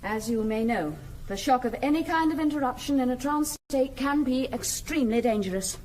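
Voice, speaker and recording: High-voiced, commanding British spirit medium. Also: female voice, expressive, darkish tone, slight background noise. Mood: calm, commanding. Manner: formal, measured, deliberate instruction. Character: composed, commanding woman spirit medium holding a seance. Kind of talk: British radio drama acting.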